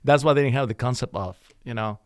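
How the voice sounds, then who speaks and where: A man's voice: medium pitch, quick pace, clean tone, no room sound, clean studio sound. A middle-aged man, a musician chatting as a guest, talking in a loose, rambling way full of fillers and restarts. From Mexico City. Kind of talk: casual interview chat.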